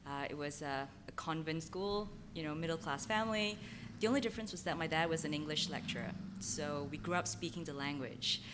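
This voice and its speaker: A woman's voice: sits low, a little fast, background noise. A woman from Malaysia with a slight American accent, giving a candid, self-deprecating, unscripted talk on a conference panel. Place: conference hall.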